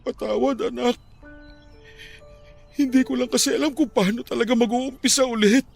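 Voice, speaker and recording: A man playing a high, gravelly grieving father. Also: fairly even intonation, moderate pace, soft background music, heavily acted. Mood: anguished. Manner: tearful, pleading, voice breaking with grief. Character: grieving widowed father, middle-aged, heartbroken and tender. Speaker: voice actor in a radio drama. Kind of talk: radio drama acting.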